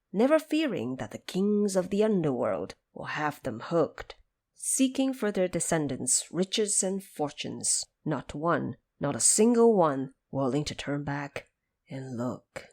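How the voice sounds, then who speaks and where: Animated, low-pitched woman narrating a story. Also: a little slow. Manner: dramatic, expressive storytelling. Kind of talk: scripted storybook narration for an audio drama.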